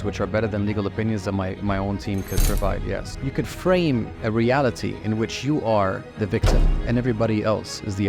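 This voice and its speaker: A middle-aged man who grew up in the UAE, a lawyer answering interview questions in a measured way, often pausing with fillers and restarting phrases. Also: lowish voice, creaky.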